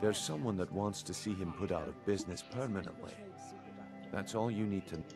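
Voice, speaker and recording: Sly thief, video game dialogue, low pitch, confident, smooth knowing delivery, clear background music. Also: male voice, brisk. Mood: conspiratorial, businesslike. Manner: smooth, knowing, calling the listener lad. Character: sly, confident thief recruiting a newcomer for a job.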